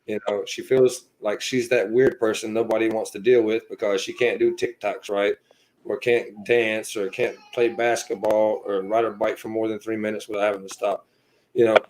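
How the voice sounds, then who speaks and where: A man in his thirties telling his personal story as a podcast guest, earnest and halting, filling his pauses with "you know". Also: lowish voice, steady tone, a little mellow, a little hiss or hum, poor audio. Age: 30s.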